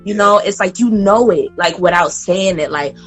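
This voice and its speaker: A woman in her late twenties, a podcast guest in casual chat, talking in a loose, animated way. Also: medium pitch, moderate pace. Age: 20s. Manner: chatty and animated, gets loud and laughing when excited. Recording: faint guitar music underneath.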